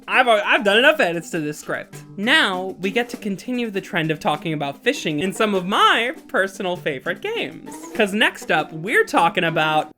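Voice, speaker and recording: Animated woman reviewer, bright voice, casual opinionated asides, bit of music underneath. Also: medium pitch, moderate pace, hardly any pauses. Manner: opinionated, self-deprecating, playful, swears casually. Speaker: video game reviewer and narrator. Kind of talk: scripted video essay commentary with casual asides.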